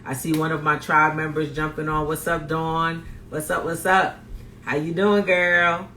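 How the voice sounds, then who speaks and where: A man's voice: a little high, little pitch movement, measured pace, pushed and bright. A man from Guyana, a plant-based chef and health coach, talking upbeat to viewers of a live cooking demo, greeting them and repeating phrases. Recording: roomy echo, faint background noise.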